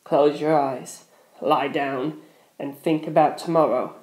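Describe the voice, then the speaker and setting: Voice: mid-range voice, fairly even intonation, takes it slowly, a little mellow, reverberant, natural voice, rough recording. A narrator voicing a terrified young man who rambles and begs in an audio story. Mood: dazed, haunted. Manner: shaky, pleading, rambling. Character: terrified, begging young man, self-absorbed.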